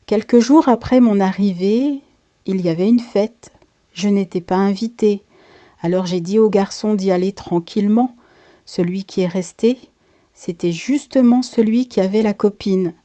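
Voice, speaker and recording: A woman's voice: medium pitch, moderate pace, mellow, dry recording. A woman reading a scripted first-person life story as its narrator.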